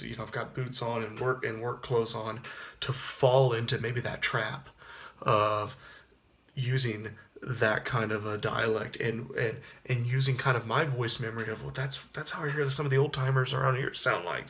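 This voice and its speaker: A man's voice: fairly even intonation, moderate pace, bright, forceful tone. Rambling Texan man in his thirties. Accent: Texas. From Texas. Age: 30s. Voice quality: baritone. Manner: rambling, with frequent restarts and fillers. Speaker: actor and singer. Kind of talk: casual spoken reflection to camera.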